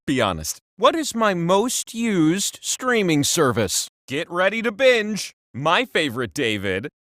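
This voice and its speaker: A grand, boastful talking wheel hosts a quiz game like a playful god. The voice sits fairly high for a man and swings widely in pitch, pompous one moment and teasingly chatty the next. The sound is very dry and close, with no room around it. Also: a bit bright, no echo. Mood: fond, dramatic. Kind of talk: theatrical game show hosting.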